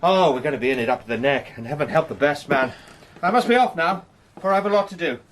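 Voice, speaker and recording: An actor playing an earnest, impassioned British gentleman spy with a literary turn of speech in a radio drama. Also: fairly high pitch, expressive, quick pace, a little hiss or hum, lightly acted. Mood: excited. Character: Sandy, fervent eloquent British gentleman spy.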